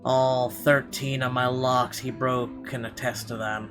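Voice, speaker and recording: Gamer guy reading story text out loud, casual side comments, guitar music going behind him. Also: mid-range voice, level tone, measured pace. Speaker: let's player reading game text aloud. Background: guitar game music.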